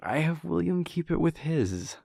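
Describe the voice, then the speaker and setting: A man playing an affectionate, bashful vampire prince boyfriend in an intimate roleplay audio, breathy and husky, with a halting, softly teasing manner. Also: on the high side, very animated, no room sound, clean studio sound, full character voice. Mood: sheepish, flustered.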